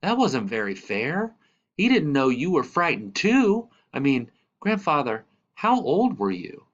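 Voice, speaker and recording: A man voicing an eager, blunt young grandson in a storybook, asking excitable questions, on a slightly dull recording. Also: on the high side, unhurried, pitched above the performer's own voice. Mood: sympathetic, curious.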